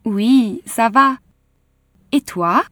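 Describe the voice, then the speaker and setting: Une femme jouant Junko, étudiante aimable. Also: female voice, fairly high pitch, drawn-out words, fairly bright tone, dry recording, studio quality. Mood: polite. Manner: careful, clearly articulated. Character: young female Japanese student, friendly. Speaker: voice actor in a language course dialogue. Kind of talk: slow scripted textbook dialogue.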